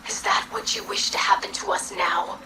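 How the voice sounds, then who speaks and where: A woman voicing an earnest, dutiful young alien scribe in a video game, with formal, measured diction and wounded idealism. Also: medium pitch, wildly swooping pitch, whispered, poor audio. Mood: pleading, confrontational. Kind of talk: dramatic video game cutscene acting.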